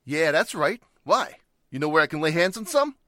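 A man acts out a slick, shady racketeer from a forties radio crime play. His slangy tough-guy talk swings up and down in pitch and is quick to bristle, on a dry, close recording. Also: big pitch swings, pushed and bright, dry recording. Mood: slick, sly. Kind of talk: 1940s radio crime drama acting.

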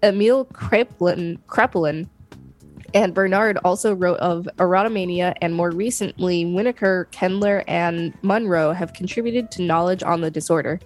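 A woman's voice: fairly low pitch, normal speed, soft background music. A woman co-hosting a podcast, reading an article aloud steadily, now and then tripping over names. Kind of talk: casual read-aloud.

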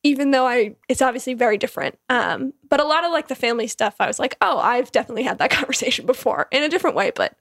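A young adult woman appearing as a guest on a podcast. Her voice is high and the sound is dry and close. She speaks in a friendly, slightly hesitant way with small fillers. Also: lively intonation, racing, vocal fry, hardly any pauses, no room sound. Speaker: guest, college student. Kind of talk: casual interview.

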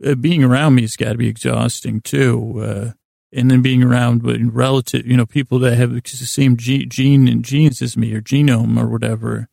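A man hosting a podcast in a gravelly, creaky voice, rambling through a halting, self-deprecating bedtime monologue that trails off and repeats words. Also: medium pitch, flat delivery, dark tone, dry recording, studio quality. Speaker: podcast host.

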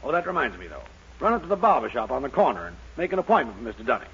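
A man playing an exasperated, sarcastic middle-aged boss with drawn-out emphasis in a 1940s radio sitcom. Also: mid-range voice, very animated, fairly bright tone, breathy edge, heavily acted. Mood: grudging, bossy. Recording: dull old radio recording.

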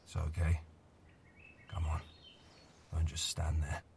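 An actor playing a weary old man telling a haunting tale in an audio drama, measured, hushed and solemn. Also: very deep voice, completely flat delivery, creaky, a little hiss or hum, full character voice. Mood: quiet, hospitable. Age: older.

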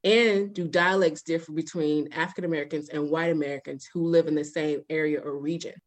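A young woman hosting a casual interview podcast, playful and quick to laugh. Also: low voice, steady tone. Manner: laughs often, playful asides. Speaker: podcast host.

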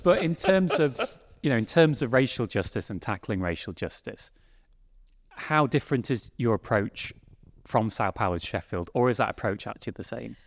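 A man's voice: medium pitch, lively intonation, normal speed. A man hosting an interview who asks his questions with hedges and repeats his framing. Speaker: podcast host. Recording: reverberant room sound.

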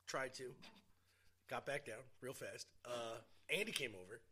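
A man co-hosting a podcast, chatting casually, explaining at length and swearing with animated energy. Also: mid-range voice, unhurried, slight background noise. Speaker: podcast co-host. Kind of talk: casual chat.

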